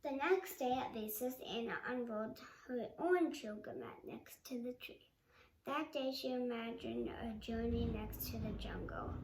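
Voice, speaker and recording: High-pitched halting storybook reader, female. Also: distant mic. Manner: halting, sounding out words, acts out quotes. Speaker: read-along reader. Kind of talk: storybook read-aloud. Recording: roomy sound with faint background noise.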